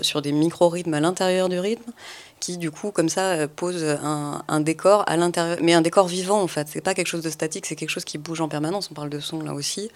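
A woman talks as a guest in a panel discussion. She speaks off the cuff, haltingly, often searching for the next word as she explains her creative approach. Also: sits low. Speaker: audio fiction maker, panel guest.

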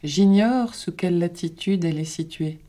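A woman reciting a poem in a slow, measured, dramatic way. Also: on the low side, dark tone. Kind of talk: poetry recitation.

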